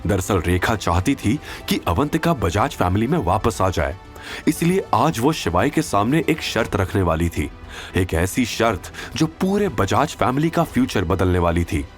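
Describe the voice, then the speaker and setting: A man's voice: lowish voice, sing-song, a little slow, soft background music. A man narrating a scripted audio drama in a dramatic storytelling style.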